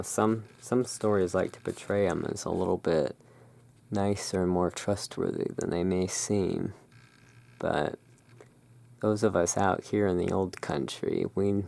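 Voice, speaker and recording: An ASMR host in a gothic shopkeeper roleplay, musing in an unhurried, gently whimsical way while commenting on cards. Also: low voice, fairly even intonation, vocal fry. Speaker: host playing a gothic curiosity shop owner.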